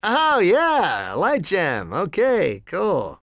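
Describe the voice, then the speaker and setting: A man's voice: high voice, wildly swooping pitch, extremely slow, creaky, fairly bright tone, dry recording, studio quality, heavily acted. A man voicing a cocky, laid-back young cheetah sidekick in a video game, breezy, slangy and friendly. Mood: casual, friendly. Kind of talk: animated video game voice acting.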